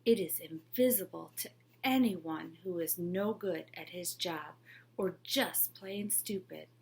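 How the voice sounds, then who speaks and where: A woman voicing a sly, scheming male trickster tailor who talks slowly and in a hush. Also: lowish voice, vocal fry, a little hiss or hum.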